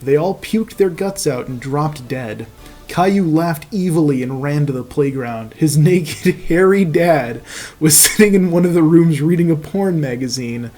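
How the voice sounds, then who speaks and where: A man's voice: fairly high pitch, lively intonation, measured pace, warm tone, soft background music. A man reading fan fiction aloud as host, in a comic narration with profane, mocking casual asides.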